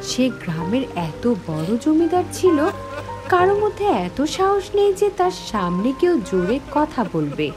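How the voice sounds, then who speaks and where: Wistful young village woman narrating a cartoon tale. High, very lively delivery, music underneath. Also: measured pace, full character voice. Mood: suspenseful, wistful. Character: Nina, wistful young village woman recalling lost childhood friend. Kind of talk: scripted first-person story narration for a cartoon.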